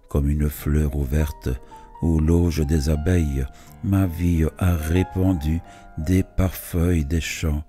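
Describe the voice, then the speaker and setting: A man with an extremely deep, mellow voice reads poetry aloud. He moves slowly and deliberately, setting each phrase apart with a pause. Faint music plays behind his literary recitation. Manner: measured, phrase-by-phrase delivery with pauses. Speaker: poetry reader.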